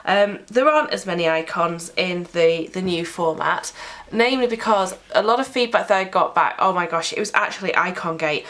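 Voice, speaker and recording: Sniffly British woman, lowish voice. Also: moderate pace, a bit bright. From United Kingdom. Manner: chatty, explaining item by item. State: sniffly with a cold. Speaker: sticker shop owner. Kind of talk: product walkthrough vlog.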